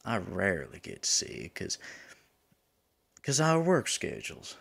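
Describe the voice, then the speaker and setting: A man playing a teasing, flirtatious demon lover in an intimate ASMR roleplay, with a drawling manner that drops into breathy murmurs. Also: deep voice, huge pitch swings, unhurried, fairly bright tone, exaggerated voice. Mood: playful, flirtatious. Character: teasing, flirtatious male demon lover, smug yet smitten.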